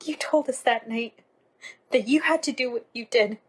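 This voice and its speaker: High pitch, woman acting bereaved accuser, stern, righteous, unforgiving, dramatic theatrical monologue. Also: normal speed, slightly rough. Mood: cold reproach. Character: grieving, righteous, unforgiving woman confronting a wrongdoer.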